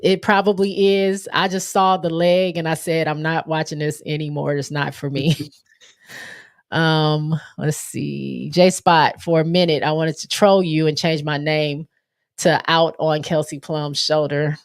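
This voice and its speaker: An American woman hosts a casual livestream chat. Her voice is a bit lower than average, in a very dry recording. She speaks informally and bluntly as she responds to viewers. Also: flat delivery, measured pace, dry recording. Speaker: livestream host.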